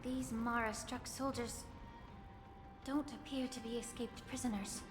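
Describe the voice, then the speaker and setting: An actress voicing a composed, sharp-witted female official in a video game, speaking in a measured, formal, analytical way. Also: a little high, steady tone, close to an everyday voice. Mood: suspicious, analytical. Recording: echoey hall reverb, music behind.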